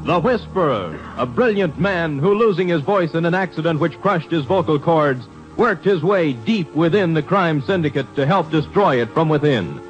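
Higher-pitched American radio announcer man over music. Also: lively intonation, normal speed, hardly any pauses, dull audio. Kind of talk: 1950s radio announcing, dramatic scripted narration. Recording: old radio broadcast recording.

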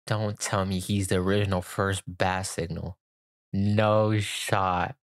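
A man's voice: low voice, flat delivery, takes it slowly, no echo. A man in his twenties giving casual, slangy live commentary on a cartoon, full of exclamatory asides, into a close microphone in a small room. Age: 20s. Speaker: reaction video host. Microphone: close microphone on a boom arm, with headphones.

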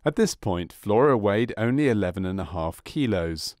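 Man, low voice, scripted documentary narrator, measured, clinical, dry close recording. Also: animated, darkish tone, no echo. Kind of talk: scripted documentary narration of a medical case study.

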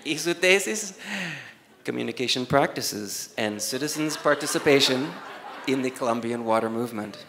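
Halting slow-spoken non-native man presenting. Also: mid-range voice, animated, background noise. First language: not Spanish. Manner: hesitant, many fillers and restarts, stumbles over names. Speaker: award presenter. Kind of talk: reading award nominees at a conference. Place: university auditorium, seated at a panel table. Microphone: desk microphone on a stand.

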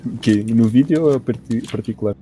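A man's voice: medium pitch, fairly quick, dark tone, slight background noise. A young man, teasing his friends in casual chat during an online game. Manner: teasing, jokey, laughs a lot. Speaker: gamer teammate. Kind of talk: casual chat while gaming.

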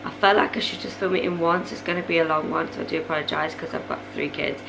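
Hoarse young woman, low voice, rambling chatty vlog talk, noisy home recording. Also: fairly even intonation, fast talker. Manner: chatty, rambling, many fillers. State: hoarse, voice going. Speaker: vlogger, mother of three. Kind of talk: casual chat to camera. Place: living room at night, small indoor room.

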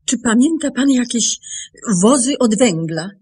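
Grave, husky-voiced woman. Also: on the high side, animated, mellow. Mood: measured recounting. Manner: deliberate, measured, broken by pauses. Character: grave, composed woman confessing her dead sister's secret. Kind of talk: radio play acting.

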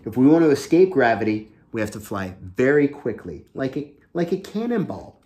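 An animated man reads aloud to children like an eager teacher, pausing to explain things in simple words. His voice sits at an ordinary pitch but swings up and down a lot. He is recorded at home in a room. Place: small home room indoors.

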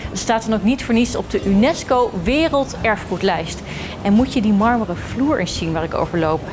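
A woman's voice: mid-range voice, expressive, moderate pace, background noise. A woman in her thirties presenting a travel show, enthusiastically showing places and holiday homes. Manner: enthusiastic, touring and pointing things out. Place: outdoors in old town streets and holiday homes. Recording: background music under the voice. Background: music.

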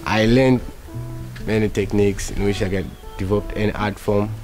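Faint guitar music sits behind a young man with a Malawian accent. His voice is fairly low as he talks in an interview. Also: steady tone, moderate pace, darkish tone. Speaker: youth programme participant. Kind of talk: documentary interview testimony.

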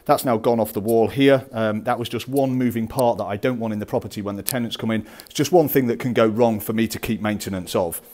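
A man from the UK in his forties talks quickly and casually, explaining practical landlord tips as he moves through an empty house. His voice sits fairly low, and he speaks into a wired earphone mic. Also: a little mellow. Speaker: landlord vlogger. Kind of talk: casual walkthrough vlog. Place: empty house and parked car.

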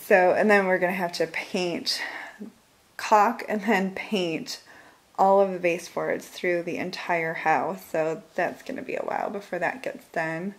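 A woman's voice: lowish voice, fairly even intonation, unhurried. Chatty Michigan woman giving a home tour. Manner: chatty, laughs often, trails off. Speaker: vlogger. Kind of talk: casual home tour vlog. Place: house, indoors, moving through rooms.

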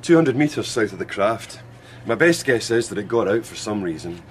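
An actor playing a calm, wry researcher in a radio drama, briefing his team in a clipped, matter-of-fact way. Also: fairly low pitch, moderate pace.

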